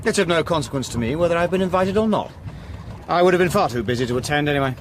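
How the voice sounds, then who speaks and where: An actor playing a fussy, prim and easily offended gentleman in a period radio drama, speaking formally and huffily. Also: on the high side, lively intonation, racing, a little hiss or hum, natural voice. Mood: huffy.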